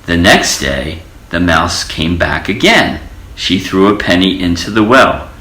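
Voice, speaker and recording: A man reading a children's storybook aloud as an expressive storyteller, in an echoey room. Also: deep voice, a little slow. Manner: expressive storytelling with character voices. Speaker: storybook reader.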